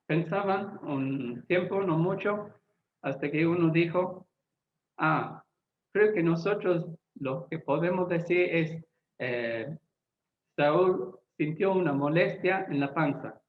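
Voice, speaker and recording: A man who is not a native Spanish speaker, a Bible translator giving a lecture-style talk, deliberate and often pausing to search for words. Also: on the high side. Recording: echoey, tinny phone-like sound.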